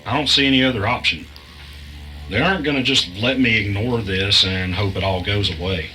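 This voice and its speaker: Low-pitched, anxious male host played by an actor, audio mystery drama, earnest and hesitant, faint music bed. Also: brisk, pushed and bright, poor audio. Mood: anxious, insistent. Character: anxious male podcast host caught in a conspiracy. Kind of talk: audio drama, naturalistic dialogue and first-person narration.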